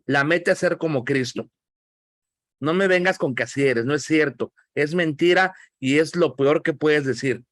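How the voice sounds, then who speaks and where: A middle-aged Mexican pastor teaching a Bible class over a video call, emphatic and teasing as he scolds his listeners. His voice sits a bit high for a man. The sound is dry and close, with no room around it. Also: moderate pace, a bit bright, no echo. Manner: joking, emphatic, scolding in a friendly way.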